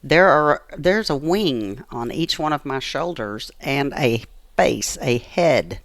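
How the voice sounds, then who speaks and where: A woman's voice: a bass voice, expressive, takes it slowly, no echo. An American woman telling personal stories as an interview guest, earnest and emphatic, repeating phrases for emphasis. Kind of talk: personal storytelling in conversation.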